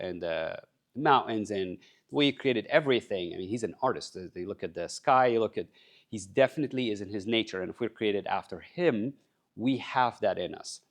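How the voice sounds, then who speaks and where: Guy giving a conference talk, lowish voice, earnest, keeps asking the crowd stuff. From Egypt. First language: Arabic. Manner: earnest, addresses the crowd with questions. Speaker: conference speaker. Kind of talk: motivational talk to a live audience. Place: conference stage.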